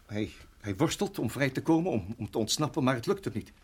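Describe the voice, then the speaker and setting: An actor playing a shaken middle-aged father in a radio drama, speaking haltingly and repeating his words. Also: mid-range voice, sing-song, breathy edge. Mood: haunted, brooding. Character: shaken father, a former Rhodesian farmer, hesitant and troubled.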